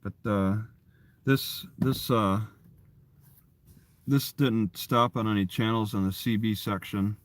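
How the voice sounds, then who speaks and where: A man's voice: on the low side, steady tone, no room sound. A man chatting casually on a livestream, rambling and often restarting his phrases. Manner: halting, repeats and restarts phrases. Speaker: live streamer.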